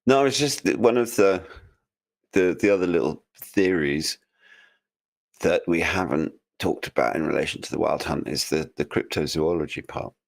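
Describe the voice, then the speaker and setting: Male co-host, very deep voice, musing and hesitant in casual chat. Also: normal speed, gravelly. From United Kingdom. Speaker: podcast co-host.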